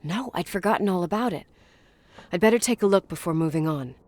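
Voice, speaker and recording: A woman's voice: lowish voice, animated, fairly quick, a little mellow, slight background noise, close to an everyday voice. An actress playing an anxious young woman in a naturalistic video game drama, speaking expressively. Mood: reflective, subdued.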